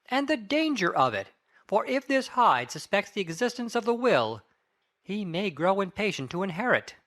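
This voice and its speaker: A sombre Victorian gentleman lawyer, acted by a man, broods aloud in an audiobook drama. His grave, theatrical delivery rises and falls widely, full of anxious musing. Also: high-pitched, very animated, normal speed. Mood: brooding worry. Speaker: audiobook cast reader. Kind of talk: dramatic reading of a Victorian novel.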